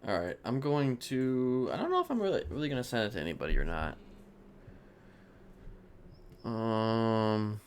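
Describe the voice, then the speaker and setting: A man's voice: fairly low pitch, huge pitch swings, normal speed, long pauses, a little hiss or hum. A man who streams games, chatting casually to his viewers, rambling as he thinks aloud and swearing. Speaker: live game streamer.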